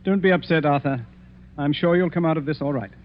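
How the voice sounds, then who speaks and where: An actor playing an imperious, self-assured, egotistical master detective in a 1940s radio mystery, with portentous delivery. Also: male voice, on the high side, fairly quick, close to an everyday voice. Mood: smooth, commanding. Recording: muffled, hissy vintage 1940s radio recording.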